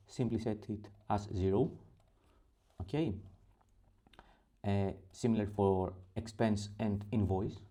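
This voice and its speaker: Greek guy doing a spreadsheet walkthrough, deep dark voice, halting with lots of uhs. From Greece. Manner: halting, with many pauses and fillers. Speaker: template creator. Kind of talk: screen-recorded software tutorial.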